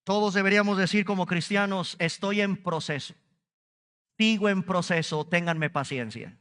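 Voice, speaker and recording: A man's voice: sits high, moderate pace, a bit bright, big-room sound. A man with a Mexican Spanish accent preaching a sermon at a church service, stretching key words and speaking straight to the congregation. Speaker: pastor.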